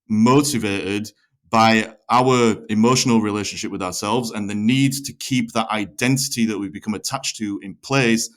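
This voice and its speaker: A male podcast host with a slightly low voice. He talks in long, reflective explanations, correcting himself as he works through an idea in conversation. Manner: long-winded, self-correcting.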